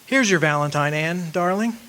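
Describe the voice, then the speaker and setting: An actor playing a tender, earnest young suitor in a sentimental radio drama. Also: male voice, fairly high pitch, moderate pace, sharp tone, clear, resonant tone. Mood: tender, excited.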